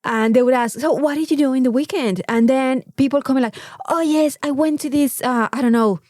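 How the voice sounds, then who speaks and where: High-voiced Mexican woman chatting casually. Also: a little fast, a little mellow, dry recording, clean studio sound. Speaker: podcast co-host. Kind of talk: casual chat.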